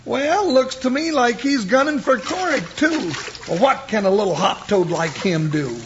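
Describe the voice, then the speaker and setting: An actor playing a blustery, comical cowboy sidekick in an old radio western, with a squawky folksy Western American drawl. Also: male voice, extremely high pitch, expressive, moderate pace, a bit bright. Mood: carefree, scoffing. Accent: American West. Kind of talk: 1950s radio western acting. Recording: muffled old radio recording, faint noise.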